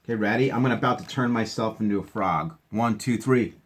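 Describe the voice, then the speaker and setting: A man with a slightly husky voice reading aloud to children and explaining things simply in a chatty, encouraging teacher's manner. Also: lowish voice, quick pace. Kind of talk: animated read-aloud with casual explanations.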